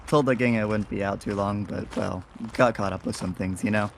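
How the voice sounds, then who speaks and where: A man's voice: fairly low pitch, fairly even intonation, a little fast, gravelly, slight background noise. A man playing an easygoing, cheeky young rogue in an audio drama, talking in casual, wry slang. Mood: chatty, teasing. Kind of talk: audio roleplay drama acting.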